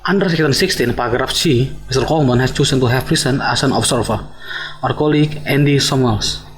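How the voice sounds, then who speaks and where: Officious male convener played by a man, medium pitch, formal and procedural, radio play. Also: fast talker, natural voice. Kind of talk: radio drama acting.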